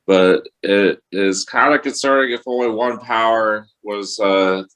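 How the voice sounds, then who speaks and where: A man in a casual radio-show chat, speaking in a hesitant, halting way with repeats. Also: on the low side, a bit bright.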